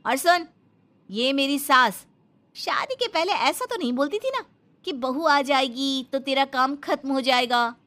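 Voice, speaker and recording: A brazen young daughter-in-law, acted by a woman in a comic cartoon story. She speaks in a high, bright voice with lively swings, outspoken and mocking. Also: measured pace, a little hiss or hum, exaggerated voice. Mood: bossy, snapping. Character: blunt, sharp-tongued young daughter-in-law.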